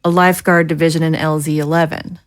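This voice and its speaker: A woman playing a composed, formal government leader in an audio drama, speaking in a measured, diplomatic way. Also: low voice, flat delivery, dry recording, clean studio sound. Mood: measured, grave.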